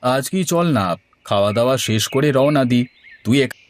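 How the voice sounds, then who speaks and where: A man's voice: medium pitch, expressive, moderate pace, dry recording, close to an everyday voice. A man voicing a middle-aged village father in a moral story cartoon, anxious to get his daughter married. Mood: matter-of-fact.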